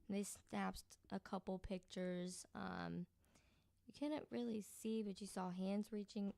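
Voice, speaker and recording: Creaky-voiced woman chatting casually. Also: mid-range voice, flat delivery, measured pace, mellow, no room sound. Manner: hesitant, piecing together details as she reads. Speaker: podcast guest panelist. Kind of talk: casual storytelling chat.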